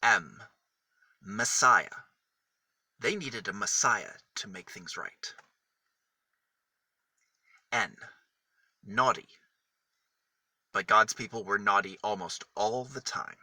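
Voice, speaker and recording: A man reading a picture book aloud for small children, with deliberate, emphatic phrasing that sets single words apart. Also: lowish voice, bright, forceful tone, no room sound. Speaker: children's storybook reader.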